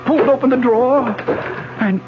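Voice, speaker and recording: An actor playing a wounded man who narrates an old-time radio drama, intense, halting and suspenseful. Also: extremely high pitch. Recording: muffled vintage radio recording, music behind.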